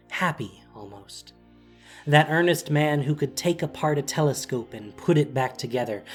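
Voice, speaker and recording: A narrator with a husky, breathy voice reads a dramatic story in measured, solemn, deliberate phrases. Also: medium pitch.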